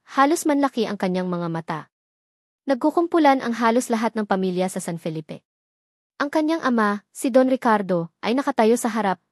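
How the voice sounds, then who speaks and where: A woman narrating a romance story in a steady, dramatic storytelling manner. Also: mid-range voice, no room sound, clean studio sound.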